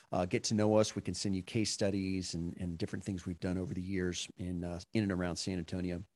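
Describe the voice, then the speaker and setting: Male podcast host, lowish pitch, dry close sound, easygoing chatty delivery with fillers. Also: no room sound. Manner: relaxed, with frequent fillers and restarts. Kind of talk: casual interview chat.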